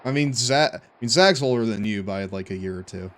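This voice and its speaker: A man's voice: lowish voice, fairly quick. A man in his twenties streaming a game and chatting casually, with slangy, joking, profane talk. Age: 20s. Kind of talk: casual livestream chat.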